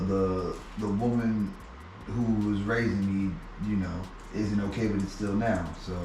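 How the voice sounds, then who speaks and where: A man as an interview guest, telling a personal story in a halting way with many restarts and repeated words. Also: on the low side, slight background noise. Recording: echoey room, mic at a distance.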